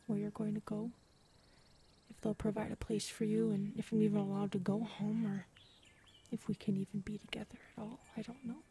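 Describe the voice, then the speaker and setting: A woman playing a big, earnest predatory alien woman in an intimate audio roleplay, soft and hesitant, often murmuring. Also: mid-range voice, breathy edge. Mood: tender, uncertain. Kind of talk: audio roleplay acting, addressed to listener.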